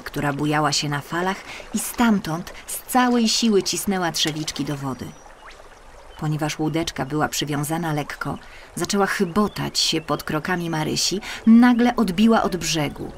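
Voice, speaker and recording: A woman narrating a scripted children's story in an expressive, careful storytelling manner. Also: lowish voice. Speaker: audiobook narrator.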